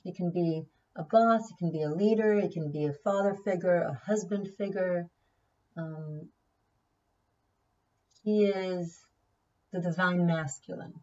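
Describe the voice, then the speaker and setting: A woman's voice: fairly low pitch, a little slow, long pauses, darkish tone, recorded from a distance, dull audio. A woman whose first language is Hebrew, giving a casual tarot reading, chatty and thinking aloud, often repeating key words. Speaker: tarot reader.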